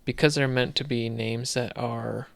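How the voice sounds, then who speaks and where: Gravelly man musing aloud, dry sound. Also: medium pitch, fairly even intonation, measured pace, no room sound. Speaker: podcast co-host. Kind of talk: casual chat.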